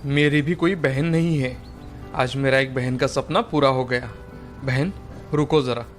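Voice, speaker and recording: An actor playing a poor, hardworking village laborer who is a kind father, speaking in a gentle, earnest way in an animated moral story. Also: mid-range voice, a little slow, soft background music, close to an everyday voice. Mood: warm.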